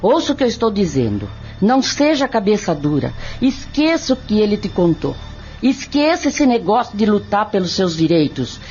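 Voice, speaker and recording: A stern, protective Brazilian sister in a radio drama, played by a woman. Her delivery is insistent and swings widely in pitch. The audio is a little dull, with soft music behind. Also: sing-song, moderate pace, natural voice. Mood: stern, fearful. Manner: insistent, emphatic. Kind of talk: audio drama acting in Portuguese.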